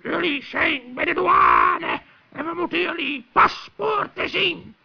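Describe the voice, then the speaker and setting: A performer playing a stubborn, officious male gannet working as a border guard in a children's radio play, with a squawking, creaky voice. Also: very high voice, expressive, slow pace, full character voice. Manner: officious, unbending. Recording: dull old radio play recording.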